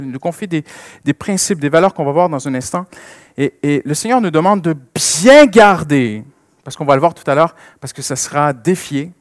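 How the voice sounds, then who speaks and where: A man's voice: a little high, no room sound, clean studio sound. A man with a French Canadian accent preaching a sermon with personal stories, emphasizing and repeating key phrases.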